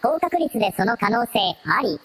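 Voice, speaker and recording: A woman's voice: on the high side, very animated, moderate pace, a bit bright, slightly rough, full character voice. A woman voicing a terse analytical assistant who advises a team in formal, clipped, matter-of-fact phrases in a radio drama. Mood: analytical, flat.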